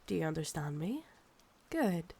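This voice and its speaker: A woman acts out an ancient Scottish vampire woman for ASMR roleplay, proud, possessive and seductive. She speaks in a low, gravelly voice at a very unhurried pace, intimately teasing and looking down on her listener. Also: big pitch swings, dark tone, heavily acted. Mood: calm, firm. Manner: slow, teasing, condescending, calls listener darling.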